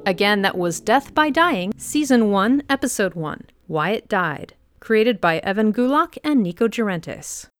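A woman's voice: mid-range voice, expressive, measured pace, few pauses, a little hiss or hum. A woman hosting a podcast, presenting in a scripted style. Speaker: podcast host.

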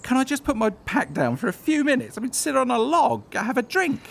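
A man's voice: very high voice, lively intonation, brisk, slight background noise, heavily acted. A man playing a nervous, reluctant woodland guide in a comedic audio drama, whiny and stammering, eager to please. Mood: breathless pleading. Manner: whiny, stammering, rambling excuses. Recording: clear outdoor water ambience behind.

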